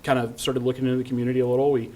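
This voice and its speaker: A man from Oklahoma running for council, speaking hesitantly with many pauses. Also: mid-range voice, little pitch movement, mellow. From Tulsa, Oklahoma. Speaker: council candidate.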